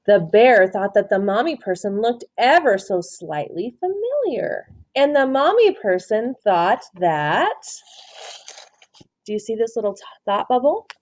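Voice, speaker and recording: A woman teacher reading a picture book to children expressively, pausing to question and explain to her young listeners. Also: mid-range voice, big pitch swings, darkish tone, no room sound. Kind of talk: interactive children's storybook read-aloud.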